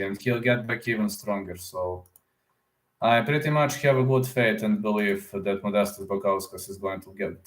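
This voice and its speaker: Rambling man on a live stream, roomy echo. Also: on the low side. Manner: rambling, answers viewer comments aloud; repeats words, often ends with "man". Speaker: combat sports YouTuber. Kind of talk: casual live stream chat.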